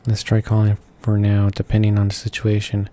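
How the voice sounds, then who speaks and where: A man's voice: fairly low pitch, completely flat delivery, a little fast, warm tone, slight background noise. A man reading visual novel text aloud as a let's player, stumbling over words now and then. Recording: game music behind the voice. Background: video game music.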